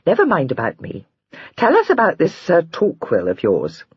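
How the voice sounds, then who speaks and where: A narrator voicing a warm-hearted young wife who turns pettish and easily moved to tears. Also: female voice, deep voice, wildly swooping pitch, moderate pace, slightly rough, dry recording, dull audio, full character voice. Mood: dismissive. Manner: pettish, then tearful and gushing.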